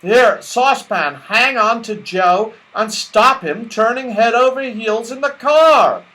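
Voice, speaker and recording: Guy doing bossy moonface type storybook character, firm and emphatic, high pitched. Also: slow pace, pushed and bright, heavily acted, rough recording. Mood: firm, urgent. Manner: emphatic, ordering. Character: firm, bossy, sensible male moon-faced fantasy fellow.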